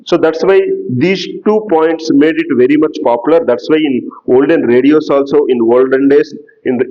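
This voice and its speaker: A man giving a lecture in a slow, explanatory way that repeats key terms. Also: medium pitch, lo-fi sound. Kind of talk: classroom lecture.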